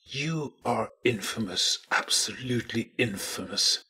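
A man voicing a bitter gentleman scientist who speaks coldly and with contempt. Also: medium pitch, a little slow, husky, heavily acted. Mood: cold, scornful. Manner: cold, contemptuous.

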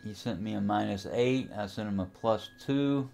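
Low-pitched man in a casual tutorial. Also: normal speed, vocal fry, a little mellow, slight background noise. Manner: hesitant, unscripted, thinking aloud while operating. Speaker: amateur radio enthusiast, tutorial host. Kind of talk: casual screen-recording software tutorial.

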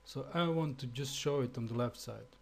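A man from Slovenia with a Slovenian accent, speaking English as a second language in a halting screencast tutorial with fillers and restarts. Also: medium pitch, gravelly, a little mellow. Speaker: web developer.

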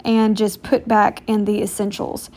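A woman's voice: mid-range voice, flat delivery, unhurried, mellow. A woman in her thirties with an American accent, chatting casually and informally as a self-deprecating homemaking vlogger.

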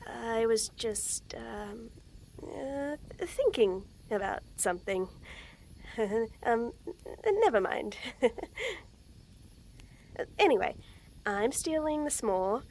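Young woman, kinda high voice, slow and shy, lots of stammering and giggles, drops to a whisper. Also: a little mellow, a little hiss or hum. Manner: nervous stammering with frequent giggles. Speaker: voice actress in a girlfriend audio. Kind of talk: scripted roleplay audio to the listener.

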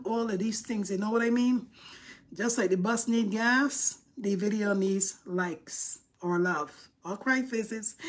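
Chatty Barbadian woman on a live stream. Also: fairly high pitch. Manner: chatty and playful, sassy asides. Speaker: livestream host. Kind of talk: casual live-stream chat. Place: room indoors by a window. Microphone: phone held close, selfie framing.

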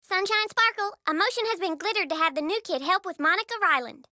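A woman voicing a prim, excitable young club girl in a cartoon game, dramatic and self-important. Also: very high-pitched, fast, no room sound, studio quality. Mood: approving. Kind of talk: cartoon voice acting.

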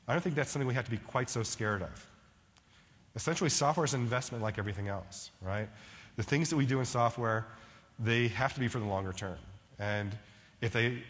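A man's voice: medium pitch, big-room sound. A middle-aged man giving a conversational conference keynote in a large ballroom, often checking in with "right?". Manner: conversational, frequent "right?" and "like" fillers. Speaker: keynote speaker.

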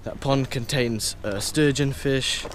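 A man's voice: mid-range voice, slow pace, a little hiss or hum. A young man narrating a casual fishing vlog outdoors, talking chattily with fillers into an action camera he holds out. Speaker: fishing vlogger. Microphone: action camera held at arm's length.

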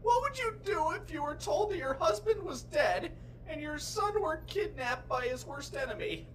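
A woman's voice: sits high, sing-song, normal speed, a little hiss or hum, low-quality recording. A woman voicing a nervous, evasive male fighter with a hesitant manner in a cartoon parody.

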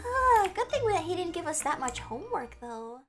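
A woman's voice: very high-pitched, sing-song, normal speed, over music, full character voice. A woman voicing a bossy, protective teenage girl who talks bluntly and insists.

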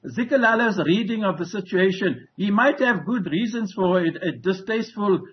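A man's voice: sits high, moderate pace. Emphatic older South African man on video call. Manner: emphatic, assertive, with many restarts and fillers. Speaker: political analyst. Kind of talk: live news analysis. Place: home room with wooden ceiling. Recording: muffled video call audio.

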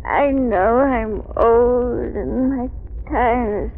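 A feeble, weeping woman in her nineties drags out her words extremely slowly. It is old radio drama with a tinny sound. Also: fairly high pitch, steady tone, vocal fry, exaggerated voice. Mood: feeble, tearful. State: weak, tearful. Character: frail, pitiful dying ninety-five-year-old woman. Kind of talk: 1930s radio acting. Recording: tinny, hissy 1930s radio recording.

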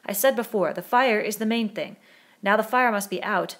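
A woman acting an exasperated boy leader, raised pitch. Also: moderate pace, close to an everyday voice. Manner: urgent, reasoning, insistent. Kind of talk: audiobook character dialogue.

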